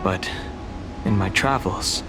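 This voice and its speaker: Low-voiced, reserved young wanderer. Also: male voice, slow, loud music behind the voice. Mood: wistful, reserved. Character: reserved, solemn young male wanderer with a hidden past. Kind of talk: fantasy game voice acting.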